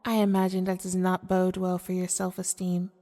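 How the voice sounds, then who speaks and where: A woman playing a manipulative, seductive female demon in an ASMR audio roleplay, speaking formally and deliberately in a coaxing way. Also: lowish voice, completely flat delivery, warm tone, close to an everyday voice. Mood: mock pity. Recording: long cavernous reverb.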